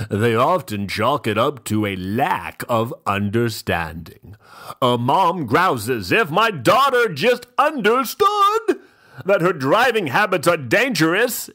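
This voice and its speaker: A man playing a pompous, fussy, self-indulgent gentleman host who gives mock-literary dramatic readings with drawn-out, theatrical pauses. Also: medium pitch, exaggerated voice.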